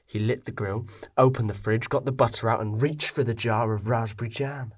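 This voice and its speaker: A man narrating a scripted story expressively, with dramatic pauses. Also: mid-range voice, steady tone, breathy edge.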